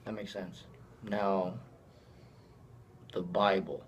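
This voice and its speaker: A man's voice: low voice, fairly even intonation, extremely slow, lo-fi sound, slight background noise. A young man interviewing a fellow student, asking loose, rambling questions and sharing his own thoughts. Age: young adult. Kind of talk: casual interview.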